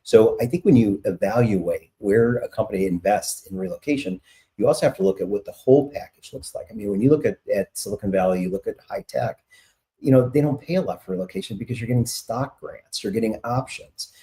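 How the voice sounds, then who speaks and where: A middle-aged man, a guest on an interview, making emphatic points and reasoning out loud with restarts. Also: fairly low pitch, lively intonation, a little fast. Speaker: guest, relocation author. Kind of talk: casual interview answers.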